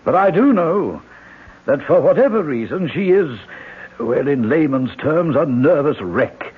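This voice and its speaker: A man playing a frank, grave country doctor in a 1970s radio drama, speaking in a measured, emphatic, formal way. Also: fairly high pitch, expressive, husky, narrow, tinny audio, heavily acted. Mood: grave, insistent.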